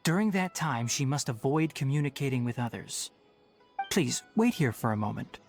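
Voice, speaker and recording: A man playing a young, scholarly forest ranger in a video game, dutiful and matter-of-fact, explaining things in a measured, slightly wry way. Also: mid-range voice, animated, soft background music, lightly acted. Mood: helpful.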